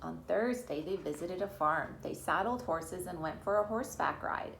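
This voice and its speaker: A woman's voice: fairly low pitch, normal speed, gravelly, fairly bright tone, slight background noise. A woman reading a picture book aloud to young children and talking to them directly as a storytime host and yoga teacher. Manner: talks directly to children, addressing them as friends. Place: at home, virtual storytime.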